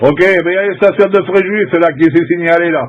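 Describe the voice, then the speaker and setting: A middle-aged French man, a CB radio operator, chatting casually in a halting, colloquial way and repeating his phrases. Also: sits high, phone-quality sound, background noise. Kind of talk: casual CB radio contact chat.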